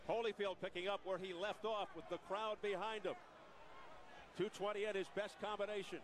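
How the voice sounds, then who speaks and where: A man's voice: high-pitched, steady tone, a little fast. A man calling a boxing match live as play-by-play commentator on a televised broadcast. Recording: very noisy televised fight broadcast.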